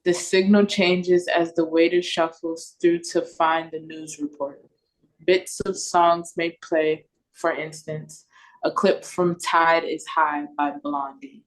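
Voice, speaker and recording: A woman's voice: sits low, little pitch movement, slow, rough recording. A woman reading stage directions and chatting casually during a script read-through on a video call.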